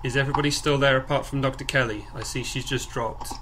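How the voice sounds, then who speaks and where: A man informally presenting to a group on a conference call, haltingly and with repeated words and phrases. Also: mid-range voice, fairly even intonation, pushed and bright. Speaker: project coordinator leading a group call. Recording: clearly noisy conference call recording.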